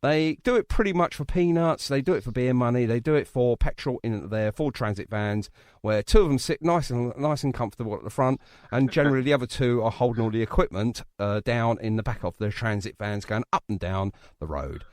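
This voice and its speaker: A man's voice: medium pitch, brisk, hardly any pauses, a little mellow, dry recording. A man hosting a radio interview in a casual, jokey, rambling way. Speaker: radio show host interviewing a musician.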